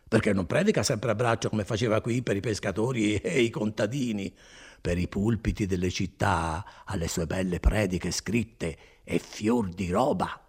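A talkative, placid country friar as a man acts him out for a storybook, folksy and reassuring. His voice creaks and bobs up and down through long, unhurried explanations. He sounds untroubled by anything. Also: lowish voice, a little mellow. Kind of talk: dramatised audiobook reading.

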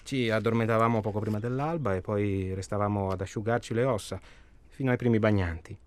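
An actor playing a self-absorbed, stalling hunter in a naturalistic radio drama, rambling and irritable. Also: male voice, on the low side, steady tone, brisk, a little mellow, lightly acted. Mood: wistful, musing. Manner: hesitant, rambling, irritable, colloquial. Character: self-absorbed, stalling male tourist hunting human prey.

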